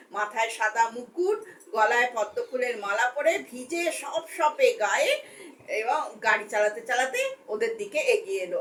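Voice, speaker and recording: A woman telling a story to children in an expressive, explanatory way with emphatic repetition. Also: on the high side.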